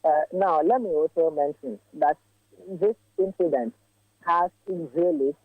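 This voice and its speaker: A man's voice: fairly high pitch, dry recording. Man reporting over a phone line. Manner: measured, with frequent filler pauses. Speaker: field reporter. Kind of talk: live news report by phone. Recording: tinny dry phone line.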